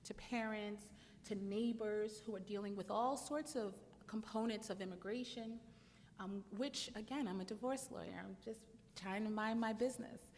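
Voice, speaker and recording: A woman lawyer giving measured, earnest remarks on a conference panel, with frequent fillers. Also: medium pitch, a little mellow. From United States. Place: conference hall. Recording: long reverb with faint noise in a hall.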